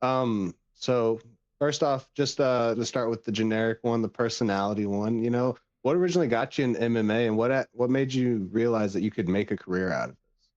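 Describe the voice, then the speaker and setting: A man's voice: medium pitch, brisk, darkish tone, dry recording. A man co-hosting a podcast, talking casually through fight analysis and interview questions, on a lo-fi microphone. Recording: dull, lo-fi microphone audio.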